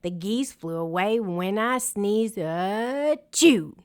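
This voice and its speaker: A woman voicing a big, stubborn, worried male bear in a children's picture book, with slow, earnest delivery. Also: mid-range voice, expressive, a little mellow. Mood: insistent.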